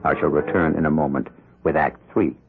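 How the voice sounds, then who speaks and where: A man narrates a scripted radio chiller with measured, ominous delivery. His voice is low and creaky. It comes from a dull vintage recording with music behind it. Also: fairly even intonation. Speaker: narrator and host. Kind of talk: scripted dramatic horror narration.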